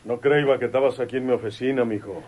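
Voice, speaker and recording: A man's voice: medium pitch, fairly even intonation, fast, background noise, natural voice. A man playing a fatherly, folksy rural police chief in an old radio drama. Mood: fond, hopeful.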